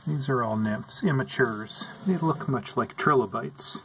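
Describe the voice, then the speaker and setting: A man calmly narrating over footage of his pet insect tanks, explaining what they do as he films. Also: medium pitch, noisy background. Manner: slow, calm, explanatory. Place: indoors at an insect tank.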